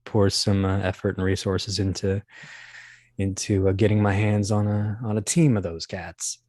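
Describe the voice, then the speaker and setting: A man talking casually as an interview guest about his creative work, in a halting, thoughtful way. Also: deep voice, flat delivery, a little mellow, no echo, studio quality. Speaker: audio drama creator and guest.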